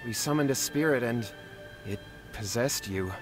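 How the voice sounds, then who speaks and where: A man's voice: medium pitch, moderate pace, music in the background, natural voice. A man voicing a worried, earnest young brother in a video game who apologizes and gives thanks.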